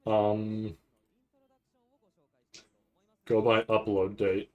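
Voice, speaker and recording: A man with a fairly low voice talks like a streamer reacting live. He rambles casually, thinks out loud as he goes and swears freely. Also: flat delivery, very slow, narrow, tinny audio. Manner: rambling, thinking aloud, profane. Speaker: streamer reacting to an anime trailer.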